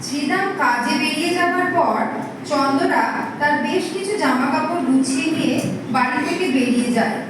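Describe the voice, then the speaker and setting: A woman's voice: high-pitched, moderate pace, background noise. A woman giving scripted story narration as the narrator of an audio play. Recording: echoey hall, distant mic, music behind.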